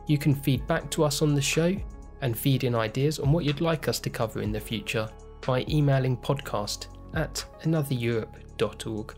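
Male host reading a measured, earnest podcast opening over faint music. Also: mid-range voice, darkish tone. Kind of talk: scripted podcast introduction.

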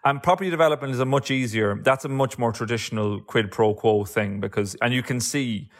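An Irish man talks at a brisk clip as an author being interviewed. He sits at a middling pitch for a man and works through his points in a discursive way, leaning on casual filler phrases as he goes. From Ireland. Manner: discursive, many "you know" fillers. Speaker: author and guest. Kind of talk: political analysis in conversation.

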